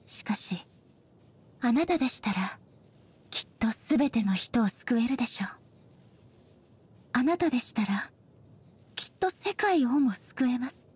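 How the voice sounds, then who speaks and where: A woman voicing a dignified, ageless shogun goddess in a game, speaking softly in formal, measured, polite phrases. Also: fairly high pitch, expressive, slightly rough, exaggerated voice. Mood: wistful, sincere. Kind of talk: scripted game character voice lines.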